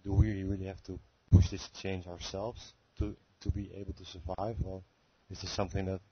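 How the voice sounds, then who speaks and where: A man with a very deep, flat voice slowly pieces together a question. He stumbles over his words, rephrasing as he goes. The dull phone-line sound gives it a muffled edge. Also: breathy edge. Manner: hesitant, searching for words, many fillers. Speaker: caller. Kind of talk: question on a conference call. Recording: muffled phone-call audio.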